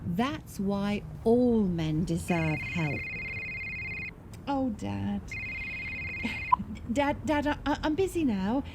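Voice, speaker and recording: An actress playing an anxious, frustrated support worker in a radio drama, earnestly questioning herself. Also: female voice, medium pitch, animated, extremely slow, long pauses. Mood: flustered, exasperated. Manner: earnest, agitated, self-questioning. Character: anxious, frustrated woman who ran a domestic abuse service. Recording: clear background ambient noise.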